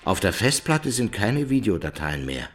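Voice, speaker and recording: An actor playing a brooding, intense middle-aged man with a husky, gravelly voice in a radio drama. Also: fairly low pitch, big pitch swings, normal speed. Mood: flat. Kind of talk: German radio drama dialogue.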